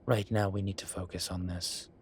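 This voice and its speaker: A man's voice: deep voice, flat delivery, moderate pace, slight background noise, lightly acted. A man playing a vain, suave incubus demon who poses as a posh professor in an audio roleplay, with a wry, self-satisfied drawl. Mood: conspiratorial. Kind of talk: scripted audio roleplay acting. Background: ambient sound effects.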